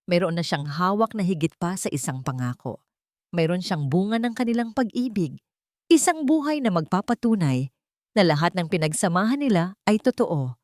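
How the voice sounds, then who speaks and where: A woman's voice: mid-range voice, a little mellow, no room sound, studio quality. A woman narrating a scripted, dramatic romance story.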